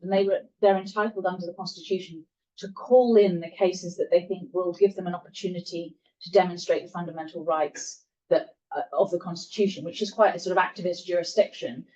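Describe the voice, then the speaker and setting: A woman's voice: lowish voice, a little mellow. Hesitant woman panelist, distant and muffled. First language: not Spanish. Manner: thoughtful, hesitant, self-correcting. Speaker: panel speaker. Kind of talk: answering questions at a lecture panel. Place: lecture room. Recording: distant mic, muffled lo-fi sound.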